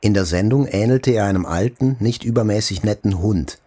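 An actor playing a journalist who soberly recalls his own life in measured literary narration. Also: on the low side, dark tone, no room sound, lightly acted. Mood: sober, dry. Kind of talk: literary first-person narration in audio drama. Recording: faint music behind the voice.

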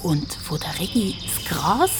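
A woman's voice: fairly low pitch, sing-song, measured pace. A woman with a Swiss German accent narrates a children's audio drama in a vivid, conversational storytelling style. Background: music.

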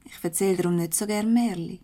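An actress with a Swiss German accent playing a gossipy, jealous young secretary in a light radio comedy, chatty and pointed. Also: female voice, lowish voice, fast talker, warm tone, close to an everyday voice. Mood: guarded, pointed. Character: gossipy, sharp-tongued, jealous young female office secretary. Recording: noisy 1970s radio play recording.

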